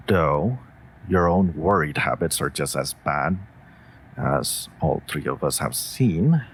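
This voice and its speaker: A man's voice: extremely low pitch, a little hiss or hum, full character voice. A man playing a devoted, worried wolf familiar in a comforting audio roleplay, speaking tenderly and formally to a mage. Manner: tender, earnest, formal phrasing.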